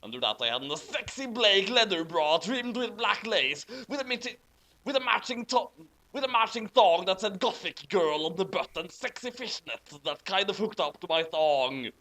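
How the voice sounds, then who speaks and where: A man's voice: high-pitched, expressive, moderate pace, pushed and bright, poor audio. A man reading a story aloud and commenting on it in an amused, incredulous, mocking way. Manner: incredulous, amused, breaking into laughter over the text. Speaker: host reading fan fiction aloud with commentary.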